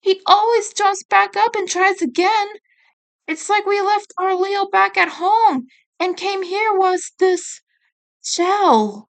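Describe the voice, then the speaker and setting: A woman's voice: extremely high pitch, takes it slowly, gravelly, pitched above the performer's own voice, heavily acted. A woman voicing an upset, tearful teenage boy turtle ninja who whines stubbornly in a fan story reading. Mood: whining protest. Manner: whining, stubborn, sulky.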